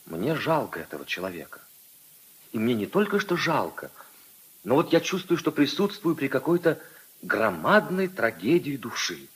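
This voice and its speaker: An actor playing a compassionate, thoughtful prince in a 1950s radio drama, speaking in a reflective, emotive way. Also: male voice, medium pitch, sing-song, moderate pace, a bit bright, husky. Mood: compassionate, troubled. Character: compassionate prince, thoughtful, gentle mediating husband.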